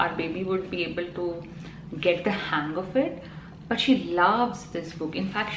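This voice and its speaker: A woman's voice: medium pitch, slight background noise. A woman in her thirties with an Indian accent, a Telugu native speaker, chatting casually to camera as a parenting vlogger, full of ums and you-knows. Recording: echoey room, faint ambience.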